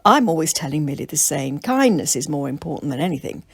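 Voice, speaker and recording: A woman voicing a warm-hearted, forgiving farm mother in a children's story. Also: low voice, sing-song, quick pace, gravelly, husky, no echo, studio quality, full character voice. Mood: earnest, forgiving.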